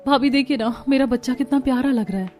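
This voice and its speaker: A woman's voice: high-pitched, fast talker, darkish tone, faint music behind, close to an everyday voice. A woman voicing a sweet, affectionate pregnant young wife in a cartoon story. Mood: tender, happy.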